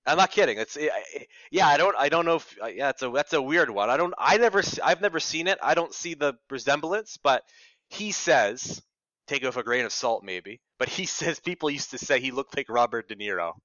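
A young Canadian man with a bright, forward voice tells stories casually in an interview. He is chatty and self-deprecating. The sound is close and dry but somewhat dull. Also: medium pitch, expressive, fairly quick, dry recording. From Ottawa, Canada. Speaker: radio reporter being interviewed. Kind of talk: casual conversational storytelling.

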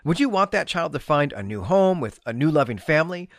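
A man's voice: on the high side, big pitch swings, fairly quick, dry recording, clean studio sound. A man hosting a podcast, giving literary commentary in an emphatic, enthusiastic way with conversational asides. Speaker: podcast host. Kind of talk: literary review commentary, semi-scripted.

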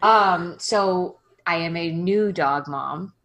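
Thirtyish woman guest, bubbly and self-mocking, lowish pitch. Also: a bit bright. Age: 30s. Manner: bubbly, self-deprecating, quick asides. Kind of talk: casual interview conversation.

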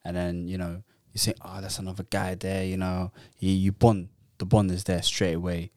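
A man with a very low, smooth voice talks in a loose, casual chat, circling back on his sentences with lots of "you know" fillers. The sound is dry and close, with no room echo. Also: little pitch movement, moderate pace, a little mellow. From Netherlands. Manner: rambling, lots of fillers and restarts.

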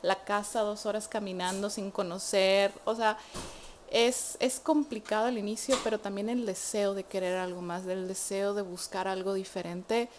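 A young Mexican woman with a Mexican Spanish accent, a guest in an interview, telling her story in an animated way with many fillers. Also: mid-range voice, normal speed, slight background noise. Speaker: guest, immigrant to Canada. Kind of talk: casual interview chat.

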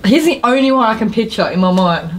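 A woman's voice: on the high side, animated, fast talker. A woman in casual conversation as a podcast co-host. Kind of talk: casual chat.

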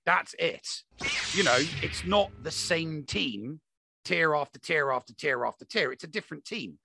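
A man's voice: on the high side, lively intonation, moderate pace, vocal fry, noisy background. A man in a casual, opinionated gaming discussion, talking emphatically and repeating himself to drive points home.

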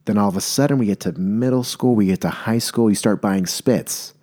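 A man's voice: on the low side, brisk, mellow. A young man telling a rambling, mock-serious comedic story, often stopping to correct himself. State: maybe congested. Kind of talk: comedic monologue.

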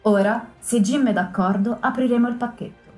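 A woman reading an audiobook, voicing a calm, reasoning doctor in an even manner. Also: fairly high pitch, moderate pace, soft background music, voice pushed up, natural voice. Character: calm, measured country doctor, a man. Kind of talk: audiobook character voice.

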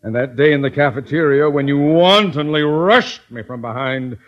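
A man's voice: fairly high pitch, moderate pace, lightly acted. A man playing a pompous, scheming middle-aged school principal with formal, theatrical diction in an old-time radio comedy. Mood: falsely sweet. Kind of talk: 1940s-50s radio comedy acting. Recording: dull old radio recording.

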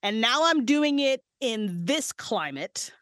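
A woman voice actress and writer, a podcast guest, talking excitedly and swearing freely about her projects. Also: a little high, slow pace, dry recording, studio quality. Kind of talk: casual podcast interview. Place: small handmade vocal booth.

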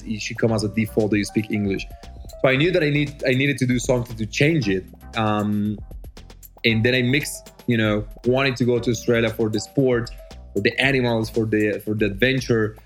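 Low-voiced Colombian man, easygoing. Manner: friendly, with fillers and restarts. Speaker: guest. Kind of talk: casual interview answers.

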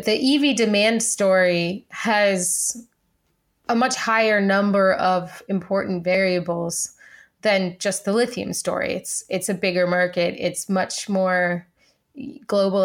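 Mid-pitched woman co-hosting an analytical podcast. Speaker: podcast co-host. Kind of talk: conversational analytical discussion.

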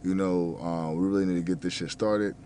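A very deep, low-pitched male voice, slightly mumbled, from a man in his thirties who grew up in New Jersey. He talks in a loose, rambling way, stumbling over words, leaning on fillers and cursing casually. A faint background hum sits under the voice. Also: steady tone, fairly quick, dark tone. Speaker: restaurant manager and fitness vlogger.

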